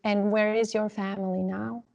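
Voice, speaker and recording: A woman's voice: medium pitch, little pitch movement, normal speed, vocal fry, a little mellow, lo-fi sound. Woman interviewer asking friendly questions.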